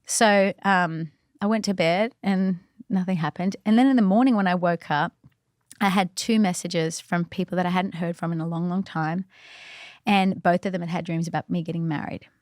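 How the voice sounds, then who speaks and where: A woman with a fairly low voice shares personal faith stories as a podcast guest. She is chatty and earnest and often fills her sentences with "like" and "you know". The sound is dry and close, with no room echo. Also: fairly even intonation, moderate pace. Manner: earnest and reflective. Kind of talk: casual faith storytelling.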